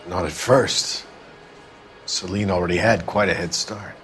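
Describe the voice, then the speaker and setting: An actor playing a world-weary, gruff middle-aged 1940s club owner in a video game, blunt and wistful. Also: male voice, low-pitched, unhurried, a bit bright, slight background noise. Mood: wistful, guarded.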